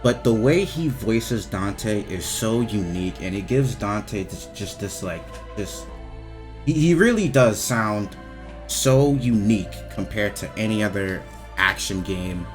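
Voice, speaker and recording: A man's voice: on the low side, lively intonation, unhurried, soft background music. A young man giving casual, rambling game commentary, hesitant and repetitive, with informal swearing. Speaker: video game reviewer.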